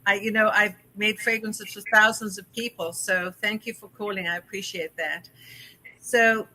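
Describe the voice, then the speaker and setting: A woman's voice: medium pitch, fairly even intonation, moderate pace, bright, forceful tone, no echo, rough recording. Chatty South African woman hosting calls. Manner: chatty, effusive, with frequent fillers. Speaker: host. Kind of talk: call-in interview show.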